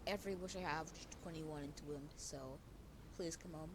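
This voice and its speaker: A woman's voice: deep voice, steady tone, brisk, slight background noise. A woman giving casual gameplay commentary as a streamer, with slangy, offhand asides. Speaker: game streamer. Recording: loud game audio and music behind.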